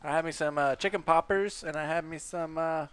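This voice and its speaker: Smooth-voiced rambling man. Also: fairly high pitch, steady tone, brisk, fairly bright tone. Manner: rambling, self-mocking, drawling. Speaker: podcast co-host. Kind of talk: casual storytelling chat.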